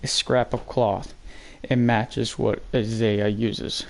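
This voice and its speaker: Man acting a slow, grim outlaw. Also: lowish voice, little pitch movement, gravelly. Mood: grave, wary. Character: grim, suspicious male western outlaw.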